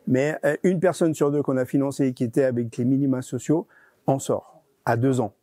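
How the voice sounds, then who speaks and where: Man, mellow voice, very dry close sound, explanatory, halting with repeated words. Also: mid-range voice, steady tone, dry recording. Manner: hesitant, often repeats words. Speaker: interviewee, regional deputy director of a nonprofit. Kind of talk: interview answers, explanatory.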